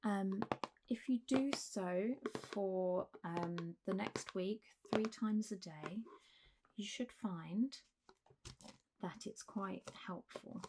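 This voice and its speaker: A woman doing a soft, half-whispered ASMR roleplay as a gentle, reassuring doctor talking a patient through care, in a close recording with faint hiss. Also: medium pitch. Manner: gentle, reassuring, unhurried doctor's bedside talk. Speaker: ASMR performer playing a clinic doctor.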